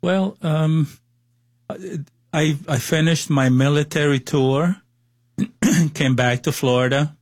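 Cuban-accented man in his sixties, interview guest, slow and halting, dry close sound. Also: on the high side, a little mellow, no echo. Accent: Cuban Spanish. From Cuba. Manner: hesitant, many fillers and restarts. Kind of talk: personal testimony interview.

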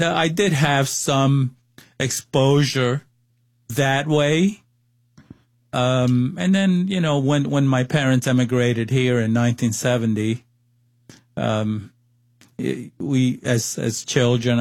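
A man's voice: medium pitch, fairly even intonation, slow, darkish tone, no room sound. A man in his sixties from Cuba with a Cuban Spanish accent, a guest giving his personal testimony in an interview, hesitant and full of fillers and restarts.

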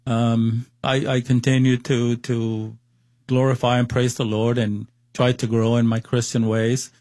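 A man's voice: medium pitch, little pitch movement, unhurried, darkish tone, no room sound, clean studio sound. A man in his sixties from Cuba with a Cuban Spanish accent, a guest giving his personal testimony in an interview, hesitant and full of fillers and restarts.

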